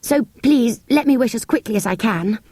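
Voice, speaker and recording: A woman voicing an eager, polite young English schoolboy in a storybook, earnest and excitable, with a British accent. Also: a little high, moderate pace, dry recording. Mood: pleading, hurried.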